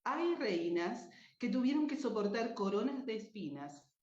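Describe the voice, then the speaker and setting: A woman presenting and narrating her radio drama in an expressive, deliberate way. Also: a little high. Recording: echoey room, dull muffled sound.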